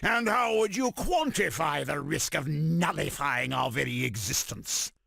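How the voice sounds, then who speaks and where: An actor playing an arrogant armored supervillain tyrant in a video game, declaiming in a pompous, commanding, gravelly voice. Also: male voice, on the high side, sharp tone, no room sound, studio quality, full character voice. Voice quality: gravelly, rasping. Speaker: video game villain character. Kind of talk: theatrical video game villain voice clips.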